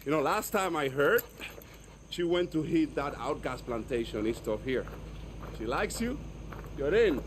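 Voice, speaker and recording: Eccentric game rebel: cocky, teasing, animated delivery, noisy outdoor night. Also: male voice, fairly high pitch, measured pace. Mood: exuberant, cocky. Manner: cocky, teasing, repetitive patter. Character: eccentric, playful, jumpy male guerrilla tinkerer. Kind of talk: video game cutscene acting. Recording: clear outdoor night noise, crickets.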